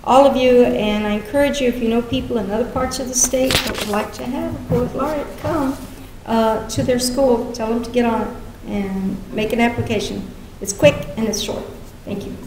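A woman's voice: on the high side, moderate pace. Middle-aged woman hosting in an echoey, noisy hall. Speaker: event host. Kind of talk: welcoming public address to an audience. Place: podium in a lecture hall. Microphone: gooseneck podium microphone. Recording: echoey hall, crowd noise.

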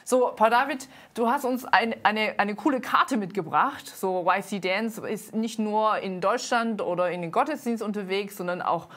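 A woman's voice: mid-range voice, fairly bright tone. Earnest woman hosting a studio show. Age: 30s. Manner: earnest, halting with many fillers. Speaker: host and dance team leader. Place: TV studio set. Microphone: lapel mic.

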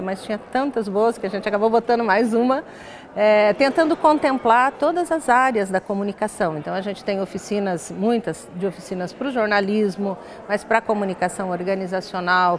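An older Brazilian woman with a somewhat high-pitched voice. She speaks as a professor in an interview, explaining step by step with small tag words along the way. She is recorded on a lapel mic indoors. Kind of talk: studio interview, explanatory talk. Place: glass-walled indoor lounge set.

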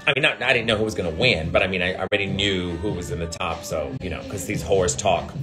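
A man's voice: low voice, quick pace, music in the background. A man in his forties chatting on a phone livestream, brash, profane and sardonic. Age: 40s. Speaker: drag performer livestreaming. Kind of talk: casual livestream chat with viewers. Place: indoor hotel hallway, then elevator. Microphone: phone at arm's length.

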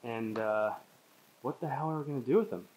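A woman's voice: rumbling low, fairly quick, darkish tone, rough recording. A woman giving a casual, upbeat walkthrough of a large empty old mill, chatting with the people around her. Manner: upbeat, chatty, keeps calling others by name. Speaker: distillery owner giving a tour.

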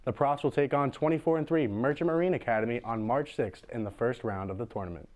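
A young man, a student sportscaster narrating scripted game highlights in a studio. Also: fast, a little mellow, a little hiss or hum. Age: young adult. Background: faint music.

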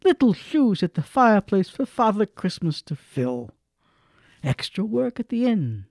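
A man's voice: sits high, sing-song, measured pace, creaky, darkish tone, no echo, studio quality. A man giving a theatrical, literary narration of a dramatised classic story.

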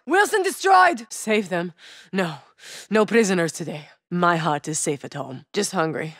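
A woman's voice: medium pitch, fairly bright tone, no echo. A woman voicing a battle-hardened female soldier operator in a game, speaking in clipped military callouts with dry humor. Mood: calm. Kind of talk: game voice lines.

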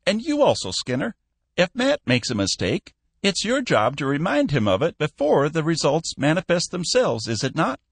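A man voicing a cantankerous, bossy old shipping tycoon in an audiobook, shrill, scolding and full of bluster. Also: huge pitch swings, moderate pace, vocal fry, slightly rough, no echo, exaggerated voice.

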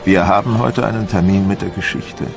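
An actor playing a resolute, proud French admiral of Napoleon's navy in a documentary radio play, speaking in solemn, formal monologue. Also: male voice, low voice, a little fast, creaky, music in the background. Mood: resolute, fervent. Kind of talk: dramatised first-person documentary monologue in German.

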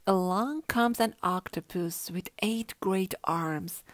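A woman reading a children's story aloud with an expressive, rhythmic rhyming delivery. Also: mid-range voice, slow, dry recording. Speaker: storybook reader.

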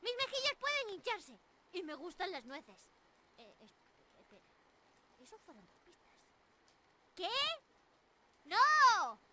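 A woman voicing a young squirrel monster girl in an anime-style ASMR roleplay, excitable, ditzy and stammering. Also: very high voice, slow, pauses often, background noise, full character voice. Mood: playful, bubbly. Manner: bubbly, excitable, stammering, giggly.

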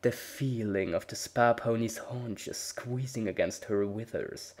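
A man narrating a dramatic reading of fan fiction, measured, voicing each character's dialogue. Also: lowish voice. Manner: measured storytelling with voiced dialogue.

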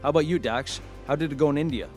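An actor playing an upbeat, boyish radio show host who chats informally and with enthusiasm in an audio drama. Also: male voice, on the high side, rapid-fire, darkish tone, soft background music, natural voice. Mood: excited, chatty. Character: upbeat male late-night radio host, chatty and boyish.

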